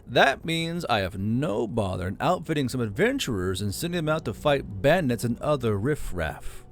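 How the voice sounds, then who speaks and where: A man's voice: medium pitch, big pitch swings, normal speed, creaky, slight background noise. A man voicing a smug, gracious male city lord who talks in a nonchalant, gloating way, in an audiobook reading.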